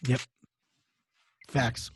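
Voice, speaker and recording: A man co-hosting a podcast in casual, conversational chat. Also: extremely slow. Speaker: podcast co-host.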